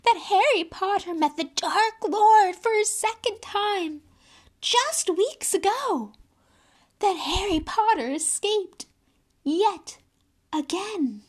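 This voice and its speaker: A woman voicing a tiny, servile male house-elf with a squeaky, strained, trembling voice, who talks about himself in the third person and pleads fervently. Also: animated, slow, exaggerated voice. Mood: fearful.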